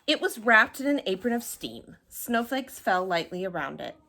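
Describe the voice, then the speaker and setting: A woman reading a children's storybook aloud in an expressive narrating style. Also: mid-range voice, moderate pace, creaky, slight background noise. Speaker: storybook reader.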